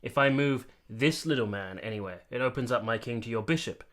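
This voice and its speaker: An actor playing an earnest, articulate army captain who has been to war, in a radio play. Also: male voice, mid-range voice, fairly quick, fairly bright tone, natural voice. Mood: amused, explaining. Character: earnest, candid young army captain, reflective war veteran. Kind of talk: radio play narration and dialogue.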